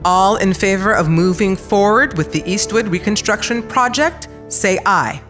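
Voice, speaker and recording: A woman's voice: mid-range voice, moderate pace, recorded from a distance, over music, close to an everyday voice. A woman playing a formal city council chair, announcing officially in an audio drama.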